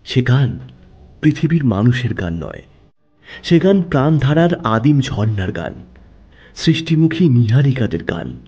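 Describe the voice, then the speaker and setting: A man narrating a literary audio story in a dramatic, expressive style. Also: medium pitch, measured pace, long pauses, husky, muffled recording. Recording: music under the voice.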